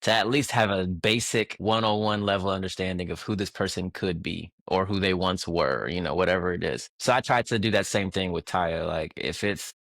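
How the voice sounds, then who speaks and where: A man answering as a guest in an interview, relaxed, laughing easily and restarting his sentences. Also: low-pitched, moderate pace, gravelly, few pauses, no echo, studio quality. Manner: relaxed, laughs often, many fillers and restarts. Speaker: guest music producer. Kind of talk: casual interview chat.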